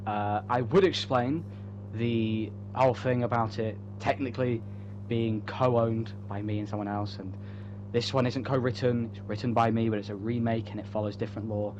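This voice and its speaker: A man's voice: medium pitch, fairly even intonation, moderate pace, hardly any pauses. Casual rambling man, muffled humming recording. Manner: self-interrupting, repetitive, joking, swearing casually. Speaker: writer promoting own online story. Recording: muffled audio with clear hum.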